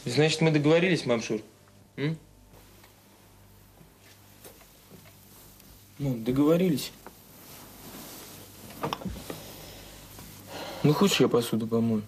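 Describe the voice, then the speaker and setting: A man's voice: medium pitch, fast talker, a little mellow, a little hiss or hum, close to an everyday voice. An actor playing a young student son in an audio drama, guarded and self-conscious, speaking colloquially and coaxingly to his mother. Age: young adult. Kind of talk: naturalistic radio drama dialogue.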